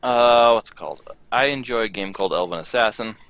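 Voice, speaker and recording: A young man in casual conversation with a halting, self-deprecating way of talking. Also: on the low side, a bit bright, smooth, no room sound. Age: young adult. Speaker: show participant. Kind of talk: casual chat.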